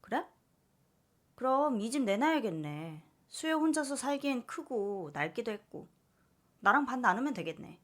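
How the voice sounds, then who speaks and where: A woman's voice: a little high, big pitch swings, moderate pace, a bit bright, pitched above the performer's own voice. Woman acting greedy, pushy, indignant middle-aged mother. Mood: brazen, grasping. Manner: pushy, accusing, whining demands.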